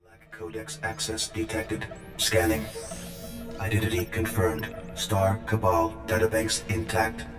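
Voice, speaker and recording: A low, impassive computer, announces security status in a sci-fi game. The words are clipped and clinical, heavily echoed over loud music. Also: male voice, unhurried.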